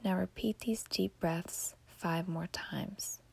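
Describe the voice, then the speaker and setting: Female meditation guide: breathy whisper, very slow pacing, hushed and soothing, for a sleep podcast. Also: fairly low pitch, fairly even intonation. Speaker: podcast host.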